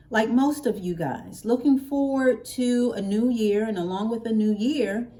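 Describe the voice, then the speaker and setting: Expressive American woman, higher-pitched voice. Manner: playful, expressive, chatty with drawn-out emphasis. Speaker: hair care vlogger. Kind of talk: casual chat to viewers.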